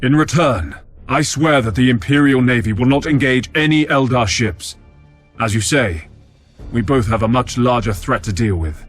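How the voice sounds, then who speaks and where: An actor playing a resolute, dutiful space navy admiral in a video game, speaking formally and firmly. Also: male voice, medium pitch, normal speed, lightly acted. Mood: firm, measured. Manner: formal, firm military speech. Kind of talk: video game cutscene acting. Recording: music underneath.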